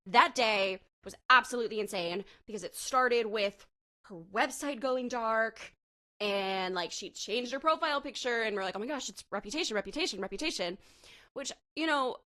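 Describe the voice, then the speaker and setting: Woman, young adult, from Texas, bright sharp voice, excitable fan chatter. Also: fairly high pitch. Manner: excitable, gushing fan talk with laughs. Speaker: podcast co-host. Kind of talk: casual chat.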